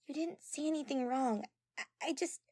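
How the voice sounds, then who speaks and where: A woman voicing a shy, soft-spoken and earnest young girl, gentle and hesitant, in an amateur audio drama. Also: high voice, moderate pace, no room sound, clean studio sound, voice pushed up, close to an everyday voice. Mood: embarrassed.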